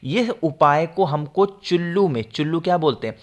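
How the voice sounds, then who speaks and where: A man with a sore throat who clears it now and then, casually explaining home devotional rituals to viewers and often checking "all right?". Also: on the high side, lively intonation, normal speed. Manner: repeats "ठीक है ना?" to check with viewers. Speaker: devotional remedies video host. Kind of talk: casual spoken instruction to viewers.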